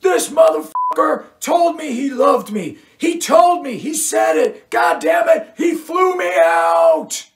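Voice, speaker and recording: A woman voicing a loud, volatile rock groupie who shouts and screams. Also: very animated, unhurried. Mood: screaming. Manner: shrill, shouting, screaming tirades.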